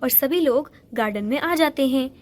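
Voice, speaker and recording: A woman narrating a children's doll story in a plain, steady storytelling manner. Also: high-pitched, moderate pace, no room sound.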